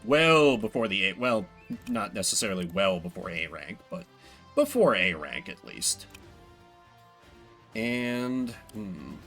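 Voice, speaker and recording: A man streams a game with casual, playful commentary. His voice is bright and very animated in pitch, and his delivery is wry, with loose swearing. Faint music plays behind him.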